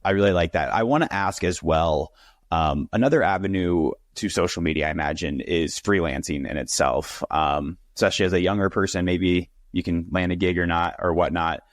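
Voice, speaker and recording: A young man hosting an interview, with a very deep, low-pitched voice. He talks casually, filling his questions with "like" and quick affirmations. The recording is close and dry, with no room sound. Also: no room sound. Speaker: interview host.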